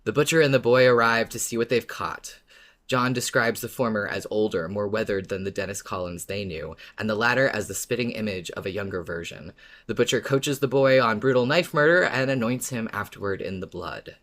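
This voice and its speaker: A man's voice: lowish voice, brisk, fairly bright tone. A man co-hosting a fan podcast, chatting and recapping with playful, wry enthusiasm. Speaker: podcast co-host.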